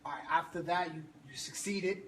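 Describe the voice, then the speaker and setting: A man's voice: fairly high pitch, fairly quick. A man coaching a fitness group, talking with energy and hyping people up. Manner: energetic, hypes people up, laughs often. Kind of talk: motivational group talk. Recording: roomy, from far off, noisy.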